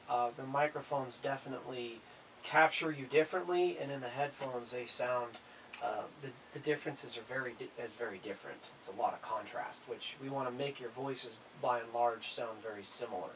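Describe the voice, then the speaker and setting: Man, session director, fairly high voice, casual explaining, slightly distant mic, faint noise. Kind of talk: casual instructions to voice cast. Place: room recording session with microphones.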